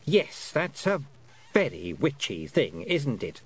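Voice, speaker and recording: A man's voice: mid-range voice, huge pitch swings, slow pace, breathy edge, pitched above the performer's own voice, heavily acted. A man voicing a cold, haughty fairy Queen in a storybook reading, condescending and mock-polite, drawing out the words. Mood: mocking, scornful. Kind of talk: audiobook character voice.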